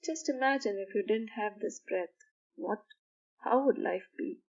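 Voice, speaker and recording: A woman guiding a sleep meditation in a soothing, measured manner, pausing between short phrases. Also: medium pitch, creaky, muffled recording. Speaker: meditation guide. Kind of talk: guided meditation for sleep, slow instruction.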